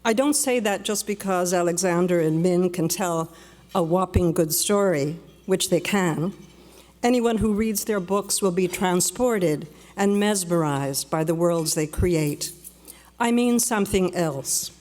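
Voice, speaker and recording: A woman born in Peru giving a measured, reflective prepared speech at a luncheon, slowing down for emphasis. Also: lowish voice, a little mellow. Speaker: guest speaker. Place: banquet hall. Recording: long reverb of a large hall.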